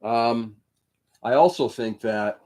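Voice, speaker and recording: A man's voice: mid-range voice, slow, darkish tone. Casual livestream host on his laptop, a Greek-native man who swears a lot and talks in loose, opinionated tangents. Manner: rambling, profane, opinionated. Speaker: livestream host building model kits. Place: indoor room.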